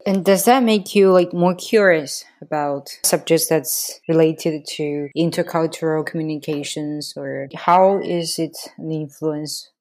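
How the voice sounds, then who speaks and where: Curious woman with a lowish voice. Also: unhurried, few pauses, a little mellow. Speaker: interviewer. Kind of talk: casual conversation.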